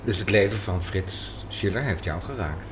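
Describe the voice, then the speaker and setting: A man's voice: low-pitched, moderate pace. A man interviewing someone in a conversational style. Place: café interview. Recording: some background noise and ambience.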